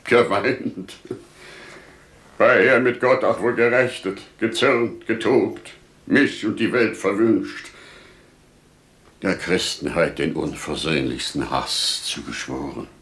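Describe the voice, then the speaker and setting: An actor playing a wise, devout older merchant father in solemn, declamatory, deliberate 1950s radio play style. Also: fairly low pitch, a bit bright. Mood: anguished, solemn. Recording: 1950s radio drama recording.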